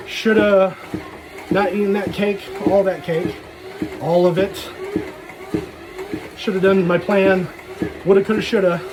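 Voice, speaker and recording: A man's voice: sits high, noisy background. A man giving a casual, rambling solo vlog monologue in frank, slangy, sweary talk. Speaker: solo vlogger.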